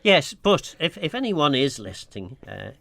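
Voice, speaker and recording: A man's voice: a little high, very animated, moderate pace, vocal fry, bright, forceful tone, no echo. A man presenting a radio show in casual chat, hesitant and full of fillers and restarts. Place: radio studio.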